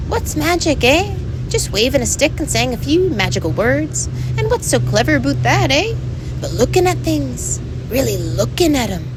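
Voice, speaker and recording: A man's voice: very high-pitched, very animated, moderate pace, noisy background, pitched above the performer's own voice. A man voicing a small, brash pixie clan chief with a Scottish accent and an emphatic, folksy manner, in a storybook reading. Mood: encouraging, emphatic.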